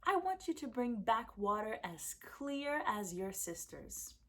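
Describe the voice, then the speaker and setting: A woman's voice: a little high, very animated, slow, fairly bright tone, heavily acted. A woman voicing a stern, wary mother in a folktale, firm and commanding. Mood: scolding. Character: stern, wary village mother of two children.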